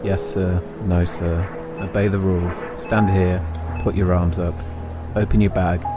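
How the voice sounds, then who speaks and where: A middle-aged man with an English accent and a low-pitched voice narrates his own story. His delivery is reflective and deliberate, broken into short phrases. Instrumental music plays clearly behind him. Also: little pitch movement. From England. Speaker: podcast host and narrator. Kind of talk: autobiographical storytelling, scripted narration.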